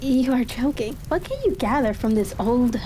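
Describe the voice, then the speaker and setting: Mellow, high-voiced woman reading softly for ASMR. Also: moderate pace. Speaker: audiobook reader. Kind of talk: calm ASMR story reading. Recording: steady rain-like crackling ambience behind voice. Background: fireplace crackle and ambient noise.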